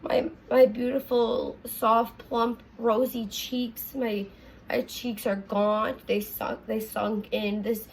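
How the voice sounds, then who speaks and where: A young woman with a raspy voice, talking earnestly in a rambling confessional vlog from her apartment, full of fillers and restarts. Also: fairly high pitch, level tone, slow, poor audio. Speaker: vlogger.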